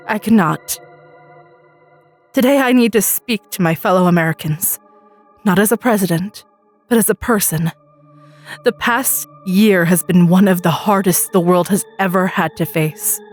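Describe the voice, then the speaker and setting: A grief-stricken woman president, acted out by a female storyteller, addresses the nation formally. She is solemn, halting and tearful, with music underneath. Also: mid-range voice, a little mellow, natural voice. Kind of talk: formal televised speech in a story reading.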